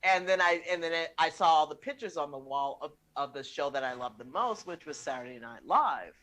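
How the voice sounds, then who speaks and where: A woman's voice: low voice, expressive, a little fast, a bit bright. A woman in her fifties hosting a comedy chat, casually bantering in a brash, teasing, sweary way. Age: 50s. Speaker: comedy show host.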